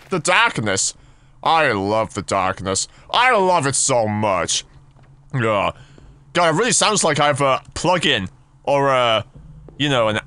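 A man commentating on a horror game as he plays, jokey and excitable, his voice husky and deeper than usual from being sick. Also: no room sound. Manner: jokey, excitable, yelps and giggles. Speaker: gaming YouTuber.

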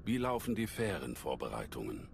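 A man playing a calm old alien Jedi master in a German game dub, measured and scholarly, with a deep gravelly voice. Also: slight background noise, exaggerated voice. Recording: sci-fi game ambience behind voice.

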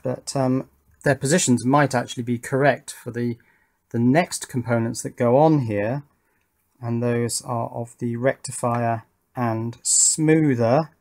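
A man with a mid-pitched voice talks casually through a hands-on electronics build. He thinks out loud as he goes, half muttering to himself, recorded indoors at a workbench by a camera mic above it. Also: steady tone, measured pace. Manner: thinking aloud, muttering to himself while working. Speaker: electronics hobbyist host.

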